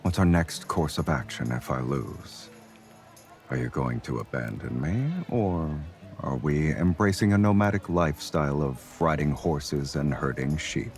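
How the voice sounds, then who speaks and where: A man voicing a confident, teasing, devoted romantic leader in a romance game, speaking in an intimate way. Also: very deep voice. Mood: tender, assured. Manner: slow, teasing, intimate delivery. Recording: music behind the voice.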